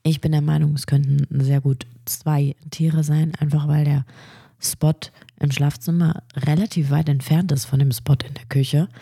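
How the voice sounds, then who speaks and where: A woman with a cold chats eagerly through nerdy facts on her own podcast, her blocked nose coloring every word. Her voice sits low, dark and husky. The recording is close and dry, with no room around her. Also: flat delivery, moderate pace, no echo. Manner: chatty, enthusiastic about nerdy facts. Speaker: podcast host. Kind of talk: casual solo science podcast.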